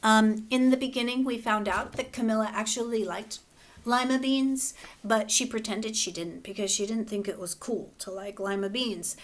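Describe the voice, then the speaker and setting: Calm woman teacher reading aloud. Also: a little high. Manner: clear, measured and explanatory with fillers. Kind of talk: children's read-aloud and lesson.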